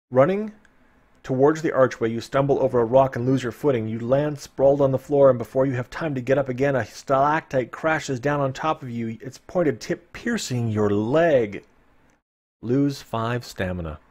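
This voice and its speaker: A man's voice: medium pitch, normal speed, a little mellow. A man streaming a live read-aloud of a fantasy gamebook, dramatic in the narration and informal in his asides. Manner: playful, informal, dramatic when reading.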